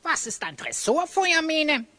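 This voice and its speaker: A woman's voice: high-pitched, big pitch swings, measured pace, sharp tone, full character voice. A woman playing an anxious small sidekick in a German children's audio drama, fretful and full of questions. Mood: curious, doubtful.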